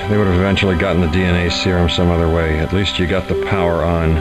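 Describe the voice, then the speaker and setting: An actor playing a weary, sardonic scientist leader in a video game, speaking in a dry, halting way. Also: male voice, deep voice, level tone, lightly acted. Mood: urgent. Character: weary, sardonic male scientist leading a stranded team. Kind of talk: video game cutscene acting. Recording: loud music behind, game audio.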